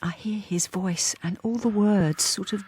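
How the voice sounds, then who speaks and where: An actress playing a lonely middle-aged wife who has turned invisible, narrating a radio drama in a hesitant, confiding, understated way, at times in a breathy near-whisper. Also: female voice, medium pitch, a little slow, darkish tone. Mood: wry, subdued.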